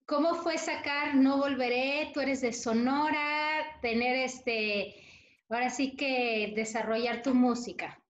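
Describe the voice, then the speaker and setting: High-voiced journalist woman, echoey call. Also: fairly even intonation, takes it slowly. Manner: friendly, asks questions with fillers. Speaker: entertainment journalist. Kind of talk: radio news interview. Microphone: video call. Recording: echoey, dull room with faint noise.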